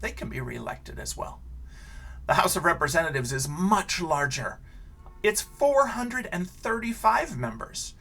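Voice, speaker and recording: A man's voice: on the high side, sing-song, a little slow, creaky, pushed and bright, soft background music. An American man speaking as an elementary school teacher, reading aloud and explaining things simply to young children.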